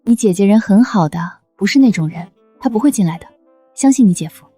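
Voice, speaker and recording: An actress playing a steady, reassuring woman in a family drama, lightly chiding in manner. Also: medium pitch, brisk, slightly rough, dry recording, soft background music. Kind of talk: scripted drama acting.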